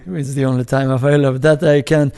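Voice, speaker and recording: A man with an Iranian accent, a film director speaking as an interview guest in a halting way, with many restarts, fillers and chuckles. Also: medium pitch, little pitch movement, warm tone, clean tone. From Iran. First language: Farsi. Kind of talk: casual interview talk.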